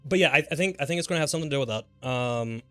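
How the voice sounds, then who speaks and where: A man's voice: on the high side, expressive, very fast, pushed and bright. A man chatting casually and informally on a live gaming stream, speculating in a rambling way. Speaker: game livestreamer. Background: background music.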